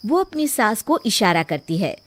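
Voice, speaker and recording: A woman's voice: mid-range voice, sing-song, measured pace, fairly bright tone. A woman reading a scripted children's story as its narrator.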